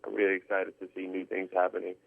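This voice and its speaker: A young man from Texas, speaking in an earnest, rambling way, full of restarts. His voice sits fairly low and comes through a tinny, narrow phone line. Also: monotone, fast talker, gravelly. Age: 20s. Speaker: guest drummer. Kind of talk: casual interview chat.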